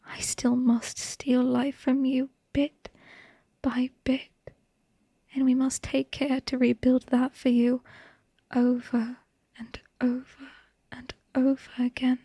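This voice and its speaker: A woman playing a devoted, tender and haunted vampire wife in a hushed, breathy, intimate ASMR bedtime monologue. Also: sits high, flat delivery, no room sound, studio quality, exaggerated voice. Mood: tender, melancholy. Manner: slow, tender, halting delivery with lingering pauses.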